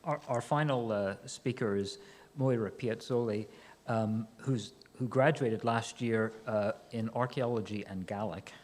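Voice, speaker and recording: A man's voice: fairly low pitch, measured pace, a little mellow. A man introducing the next speaker at a talk, hesitant with many fillers. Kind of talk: lecture introduction. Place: lecture hall. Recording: long hall reverb, faint room noise.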